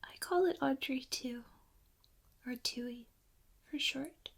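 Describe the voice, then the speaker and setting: A woman speaking softly and whispering in a hushed, gentle ASMR style, giving step-by-step demonstrations into a close microphone. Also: high-pitched, slow pace, vocal fry. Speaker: ASMR host demonstrating plant care in a role play. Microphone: close binaural microphone. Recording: faint background noise, binaural close-miked.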